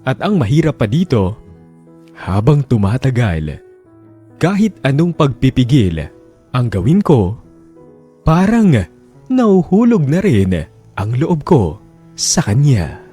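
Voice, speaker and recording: A man narrating a radio drama in a measured, reflective way. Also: medium pitch, sing-song, dark tone, husky, soft background music.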